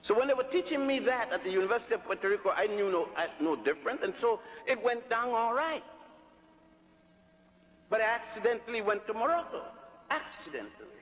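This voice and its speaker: A man's voice: sits high, expressive, fairly bright tone, dull audio. Older man lecturing on an archival hall tape. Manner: halting, repeats words, earnest storytelling. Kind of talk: recorded public lecture.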